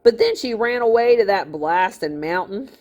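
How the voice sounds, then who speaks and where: A woman's voice: mid-range voice, expressive, moderate pace, a little mellow, dull audio, pitched above the performer's own voice, natural voice. A woman voicing a greedy, fat older miller in a storybook, a smug villain with a falsely genteel, gloating manner. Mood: smug, mocking.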